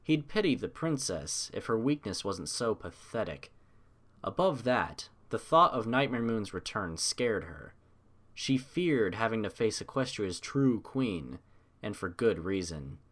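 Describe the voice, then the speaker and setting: Low, very expressive male storyteller. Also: a little slow. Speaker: narrator. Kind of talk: scripted dramatic story narration.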